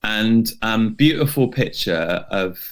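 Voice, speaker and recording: Guy with lowish voice telling a story on a podcast, really slow, hesitant n hedgy, totally off the cuff. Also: lively intonation. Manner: hesitant, loosely phrased, hedging. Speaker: podcast co-host presenting a history feature. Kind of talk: casual unscripted storytelling to a co-host.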